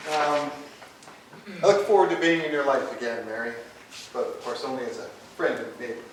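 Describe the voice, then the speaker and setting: An actor playing an affable, smooth-talking rival suitor in a stage play rehearsal. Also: male voice, mid-range voice, steady tone, brisk, natural voice. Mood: polite, eager. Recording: distant echoey rehearsal room, hiss.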